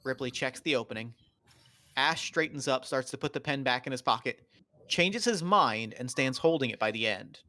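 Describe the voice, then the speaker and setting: A man's voice: medium pitch, moderate pace, pushed and bright, dry recording. A man doing scripted narration, reading out the stage directions of a screenplay at a table read.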